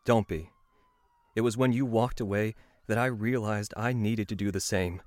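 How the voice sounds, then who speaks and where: Man playing a low-voiced, weary, remorseful father. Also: steady tone, normal speed, a little mellow, dry recording, close to an everyday voice. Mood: tender, remorseful. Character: weary, remorseful troubled father, pleading and apologetic. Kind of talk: audio drama acting.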